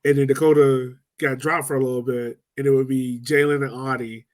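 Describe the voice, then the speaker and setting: A man's voice: mid-range voice, flat delivery, a little fast. A man co-hosting a sports podcast, talking loosely with lots of "um" and "like". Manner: loose and chatty, lots of "um" and "like", laughs easily. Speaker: podcast co-host. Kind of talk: casual sports chat.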